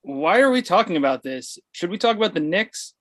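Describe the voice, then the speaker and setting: A man's voice: high voice, sing-song, brisk, a bit bright. A man plays a stubborn middle-aged father in a comedy read-through. He is blunt and dismissive, sounding pleased with himself as he talks. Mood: smugly dismissive. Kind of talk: comedy script table read.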